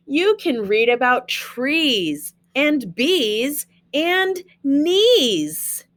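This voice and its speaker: A woman's voice: fairly high pitch, bright, forceful tone. A woman teacher reading a children's storybook aloud, expressively, with chatty asides and questions to young listeners.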